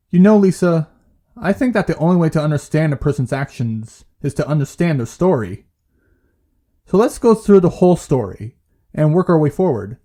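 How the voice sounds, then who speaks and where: A man playing a calm, patient therapist in an audio drama, asking questions in a measured, gentle way. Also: on the high side. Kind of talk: naturalistic audio drama dialogue.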